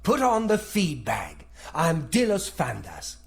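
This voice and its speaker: An actor playing a brash dark elf man in a fantasy video game, with a raspy voice. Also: on the high side, huge pitch swings, slow pace, heavily acted.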